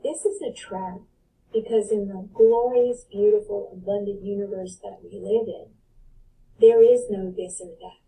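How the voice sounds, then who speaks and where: A woman's voice: mid-range voice, mellow. A woman speaking as a guest life coach in a reflective spiritual talk, building her points through emphatic repetition, recorded on a distant mic in an online live audio room.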